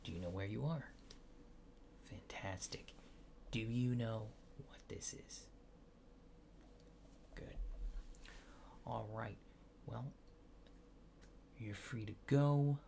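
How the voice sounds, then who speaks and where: A soft-spoken man performs an ASMR roleplay at an unhurried, slow pace. His hushed voice has a gravelly fry and often slips toward a whisper, polite and clinical but hesitant. There is noticeable background noise in the recording. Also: lowish voice. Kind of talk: soft-spoken ASMR roleplay as an alien abductor examining a subject.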